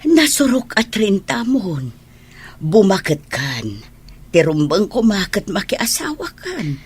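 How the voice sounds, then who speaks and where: A woman acting in a Filipino radio drama as a loving, worried older mother with a husky voice and Ilocano accent. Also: mid-range voice, sing-song, slow pace, full character voice. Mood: worried, resigned.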